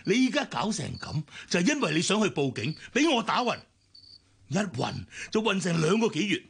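A ruthless middle-aged schemer in a Cantonese radio drama, with a Hong Kong accent. His husky, creaky voice is slightly high and swings widely, drawing words out with gloating mockery. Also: big pitch swings, fairly bright tone, exaggerated voice. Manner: drawn-out, mocking delivery. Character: scheming older male villain, gloating and ruthless.

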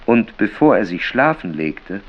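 An actor as a storyteller, reading a literary tale in a measured, plain way with rain behind him. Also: male voice, on the low side, very animated, husky, lo-fi sound, exaggerated voice. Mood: solemn, plain. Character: male storyteller of a biblical-toned literary tale. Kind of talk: literary narration.

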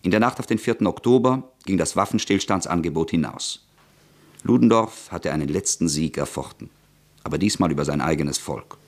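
A man narrating a historical radio play in an even, documentary tone. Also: sits low, brisk.

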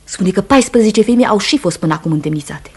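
Husky, grave, mistrustful wife. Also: female voice, medium pitch, lively intonation, darkish tone. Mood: anxious. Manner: restrained, earnest, reproachful. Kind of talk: Romanian radio theatre acting.

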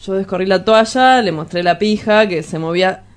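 A woman's voice: medium pitch, moderate pace, close to an everyday voice. A woman playing a pretentious, boastful, evasive lover in a radio drama, with an Argentine Rioplatense accent and a hesitant, wordy, colloquial delivery. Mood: smug, confiding. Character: sleazy, pretentious, self-styled philosopher lover, evasive and boastful.

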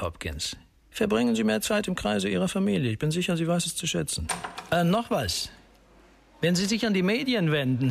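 A stern, sceptical police lieutenant in a crime radio play. His husky voice sits fairly high for a man and moves around freely, curt and sardonic with authority. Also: heavily acted. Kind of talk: crime radio drama dialogue.

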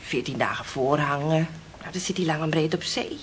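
A woman's voice: low voice, normal speed, a little hiss or hum, natural voice. An actress playing a chatty, frank young woman engaged to be married, in a Dutch radio play.

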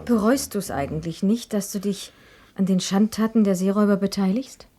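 An actress playing a kindly young Irish lady in a radio play, speaking gently and coaxingly. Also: mid-range voice, normal speed, natural voice. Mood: gentle, curious.

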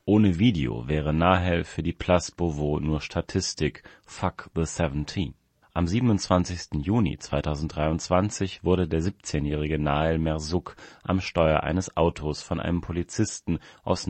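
Guy with a really low voice reading out a news feature, measured, factual, dry recording. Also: darkish tone, no room sound. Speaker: reader of a magazine report. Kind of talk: scripted narration of a journalistic report.

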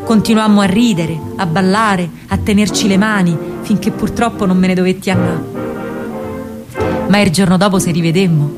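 Casting: actress to play young female partisan courier, Roman accent, colloquial and expressive, medium pitch, theatrical radio monologue with music underneath. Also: normal speed, natural voice. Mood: enamored, wistful. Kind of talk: theatrical radio monologue, dramatic first-person storytelling.

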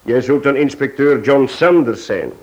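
Casting: male actor as composed chief inspector for radio play, mid-pitched, courteous, measured and firm. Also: animated, a little mellow, dull audio, close to an everyday voice. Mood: measured, planning. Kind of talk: radio drama acting.

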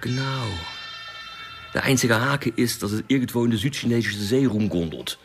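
An actor playing a cocky, slyly friendly young Dutch drug dealer in a crime radio drama, speaking German with a Dutch accent and slightly broken grammar. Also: male voice, medium pitch, fairly quick, faint music behind, lightly acted. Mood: breezy haggling.

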